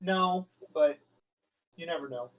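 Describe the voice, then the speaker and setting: A man's voice: on the high side, slow, narrow, tinny audio. A man playing a tabletop game, casually debating tactics with the group.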